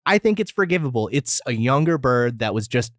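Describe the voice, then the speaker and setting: A man's voice: mid-range voice, very animated, a little fast, no echo, studio quality. A man chatting as podcast co-host, explaining things casually with a joking streak. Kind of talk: casual comedic review chat.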